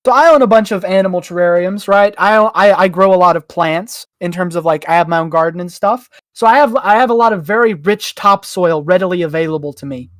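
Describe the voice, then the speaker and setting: A podcast host chatting casually and jokingly, rambling through slangy, animated explanations. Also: mid-range voice, brisk, hardly any pauses, no room sound.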